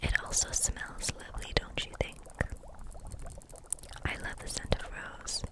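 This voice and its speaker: Woman doing whispered asmr roleplay as a gentle kitchen witch, soft breathy voice, talks right to you, unhurried. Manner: gentle, unhurried, addressing the listener softly.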